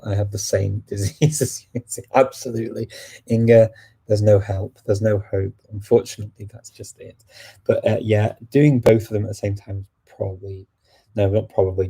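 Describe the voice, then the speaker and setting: A man's voice: lowish voice, husky. A man hosting a language-learning livestream, chatting casually as he answers viewers' questions. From United Kingdom. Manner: relaxed and chatty, answering questions as they come in.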